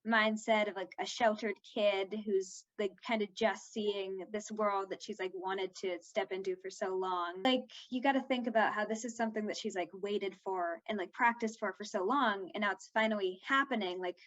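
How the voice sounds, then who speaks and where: A woman's voice: medium pitch, fairly even intonation, normal speed, few pauses, lo-fi sound. A fourteen-year-old girl chatting casually as an interviewed young actress, her talk peppered with "like".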